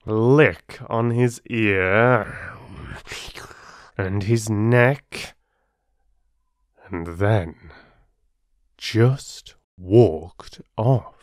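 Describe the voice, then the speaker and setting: A man working as a storyteller and host, speaking with measured, deliberate phrasing as if reading to listeners. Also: fairly low pitch, clean tone, no room sound, studio quality. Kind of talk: expressive storybook narration and listener address.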